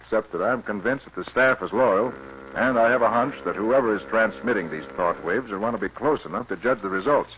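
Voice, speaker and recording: An actor playing a troubled, obsessive psychiatrist in an urgent, dramatic 1950s radio drama style. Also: male voice, lowish voice, a little fast, natural voice. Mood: focused. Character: troubled, obsessive male psychiatrist running a sanitarium. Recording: muffled, noisy 1950 radio recording.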